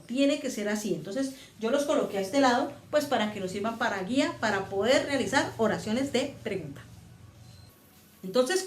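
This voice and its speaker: Woman teaching grammar, echoey room. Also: on the high side. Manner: patient, repeats and spells out steps. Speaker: English teacher. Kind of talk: grammar lesson explanation.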